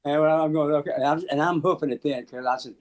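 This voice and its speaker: An American man in his seventies casually telling anecdotes in an animated, halting way, acting out the dialogue. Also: a little high. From Memphis, United States. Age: 70s. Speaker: interview guest, musician. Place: small room at home, on a video call.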